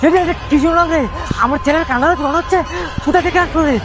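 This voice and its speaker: A man's voice: very high-pitched, quick pace, over music, crowd noise. A man playing an anxious village woodcutter and father in an amateur horror drama, speaking in repetitive exclamations. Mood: alarmed.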